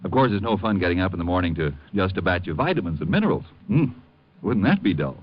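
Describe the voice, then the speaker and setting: A man's voice: deep voice, sing-song, fast. Male American ad announcer: hugely swooping intonation, folksy and emphatic, muffled vintage radio sound. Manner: emphatic, chummy, repetitive. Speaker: commercial announcer. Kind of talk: folksy 1950s radio ad pitch. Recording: dull old radio recording.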